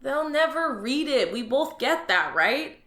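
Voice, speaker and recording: A woman's voice: high-pitched, big pitch swings, unhurried, fairly bright tone, heavily acted. A woman voicing a bored young male alien office clerk who whines and mocks his useless job, in an audio drama. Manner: sarcastic, whiny, restless.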